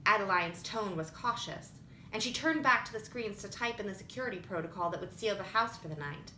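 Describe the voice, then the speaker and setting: A woman's voice: expressive, fairly quick, bright, forceful tone. A woman reading scripted fiction aloud as an audiobook narrator. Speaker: audiobook reader. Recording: roomy echo with faint rumbling noise.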